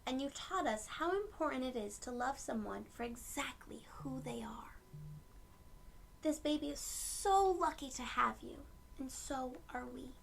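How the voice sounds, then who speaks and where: A woman voicing a tender, reassuring mother in a storybook, soft and soothing with her child. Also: high voice, animated, measured pace, creaky, a little hiss or hum, heavily acted, poor audio.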